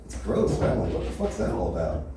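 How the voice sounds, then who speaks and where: A man's voice: low-pitched, huge pitch swings, fast. A young man from the Los Angeles area with an American accent, doing a rambling stand-up set full of "you know" and "right?" at an open mic. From Los Angeles area, USA. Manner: rambling, filler-heavy delivery with constant "you know, right?". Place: small comedy club open mic. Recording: echoey room, from far off, noisy.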